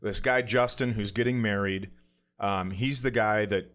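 A man's voice: lowish voice, unhurried. A man telling a casual comic story in a self-deprecating way, acting out what people said as he goes. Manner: self-deprecating, animated anecdote telling with acted-out quotes. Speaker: comedian podcast guest telling a story.

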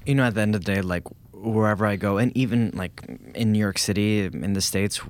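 A man's voice: lowish voice, brisk. A man in a casual podcast chat who speaks earnestly, often restarting his sentences and using lots of fillers. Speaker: podcast guest.

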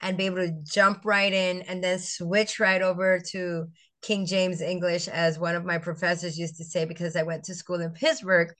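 Lowish voiced middle-aged woman from st croix, telling her story slow and thoughtful, lots of ums. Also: level tone, a bit bright. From US Virgin Islands (St. Croix). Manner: reflective, storytelling, with frequent fillers and restarts. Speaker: guest, educator and business founder. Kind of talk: conversational interview.

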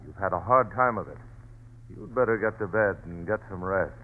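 An actor playing a steady, reassuring doctor in a vintage radio drama. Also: male voice, low-pitched, normal speed, a little hiss or hum. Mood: soothing. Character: calm, soothing family doctor. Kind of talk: 1940s radio acting. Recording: tinny old radio recording.

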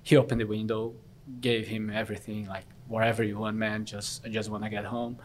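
Guy in his late 30s from brazil, korean first language, talks plain and frank, kinda low voice. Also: level tone, fairly quick, a little hiss or hum. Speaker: interviewee. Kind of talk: casual conversational interview.

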